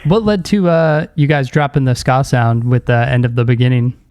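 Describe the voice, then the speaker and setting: Male interviewer, soft dark tone, mid-pitched, casual podcast delivery, very dry recording. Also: steady tone, normal speed, clear, resonant tone, dry recording.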